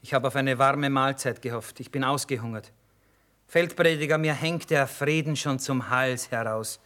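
An actor playing a grumbling, hungry army cook in a radio play, blunt and folksy. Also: male voice, medium pitch, moderate pace, a bit bright, lightly acted. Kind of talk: German radio play acting.

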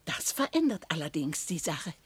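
A woman's voice: low-pitched, sing-song, normal speed, a little hiss or hum. An actress playing a haughty, title-proud old grandmother in a radio comedy, curt and formal in an old-fashioned way. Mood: graciously impressed. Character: imperious, title-proud old grandmother of a small-town family. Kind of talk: theatrical radio comedy acting.

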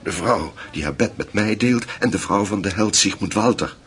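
A man playing a middle-aged, passionate and frustrated lover in a radio drama, speaking in an earnest, halting, intimate way. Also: on the low side, fairly bright tone, lightly acted. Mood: hurt, insistent. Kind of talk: naturalistic radio drama acting.

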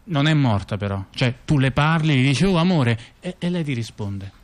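Halting, colloquial man telling a story. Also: medium pitch, lively intonation. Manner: halting, colloquial, repeats words, asks rhetorical questions. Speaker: performer in a two-person theatre dialogue. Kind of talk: conversational storytelling to an audience member.

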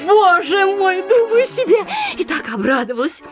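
An actress playing a gushing, tearfully delighted provincial official's wife in a theatrical comedy audio play, breathless and effusive. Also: female voice, very high voice, sing-song, moderate pace, music in the background.

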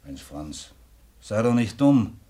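An actor playing a practical working-class drinking buddy in a radio play, colloquial and coaxing. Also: male voice, on the low side, very animated, slow, mellow. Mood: persuasive. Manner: colloquial, coaxing, tag questions. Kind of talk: naturalistic German radio play dialogue.

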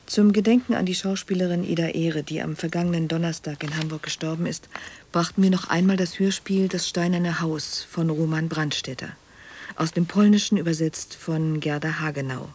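A woman's voice: low voice, steady tone, normal speed, a little mellow, breathy edge. A woman radio announcer reading a formal scripted announcement.

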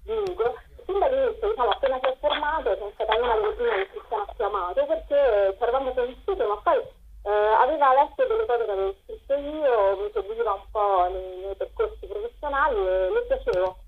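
A woman with a fairly high, husky voice gives an enthusiastic, wordy interview. She speaks off the cuff and often reaches for the next word. The sound is narrow and phone-like. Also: expressive, fairly quick. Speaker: interview guest, writer and performer presenting her collective's show. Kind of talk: spontaneous interview talk. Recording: thin band-limited radio or phone sound.

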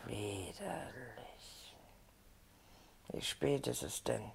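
Scornful lady of the house for radio play. Fry-laden, unhurried, bored and irritable. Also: medium pitch, expressive, heavy background noise, full character voice. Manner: languid, disdainful. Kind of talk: radio drama acting.

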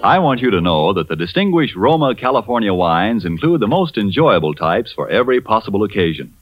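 A man's voice: on the low side, very animated, brisk. An American man reading a polished, emphatic 1940s radio advertisement as announcer, on a dull old recording. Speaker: commercial announcer. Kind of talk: 1940s radio advertisement, scripted sales pitch.

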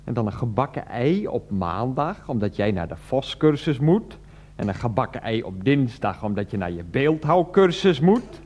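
Blustering, exasperated father with big pitch swings. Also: very animated, normal speed, a little mellow. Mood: grumbling. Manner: blustering, sarcastic, coarse-mouthed. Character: grumpy, exasperated, sarcastic father fed up with health food. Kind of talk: Dutch radio comedy drama acting.

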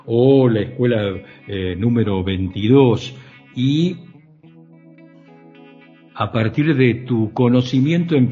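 A man interviewing a guest on the radio, asking slow, measured, formal questions. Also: lowish voice, dark tone, dull audio.

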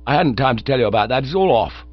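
A man reading aloud while voicing an excitable young gentleman who gushes about falling in love. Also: medium pitch, quick pace, no room sound, natural voice. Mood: gushing, smitten. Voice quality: gushing, breathless, eager. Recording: dull lo-fi recording.

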